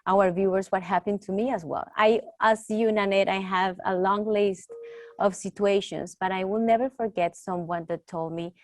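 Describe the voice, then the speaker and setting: A woman's voice: mid-range voice, fairly even intonation, normal speed, no room sound. Woman host with a strong Spanish accent. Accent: Latin American Spanish. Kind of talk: talk show hosting.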